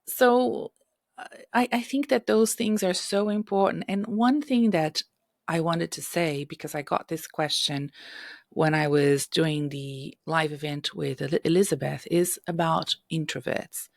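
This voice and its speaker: A middle-aged woman hosting a career-advice podcast, hoarse and husky from illness, speaking directly and coaching listeners with frequent "okay?" checks. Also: sits low, steady tone, a little slow, no room sound, clean studio sound. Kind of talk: solo podcast advice talk. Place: home room.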